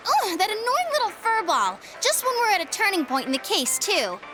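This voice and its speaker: A woman voicing an excitable, easily exasperated teenage girl in a video game, expressive and peppy. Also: extremely high pitch, moderate pace, soft background music, full character voice. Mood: anxious, exasperated.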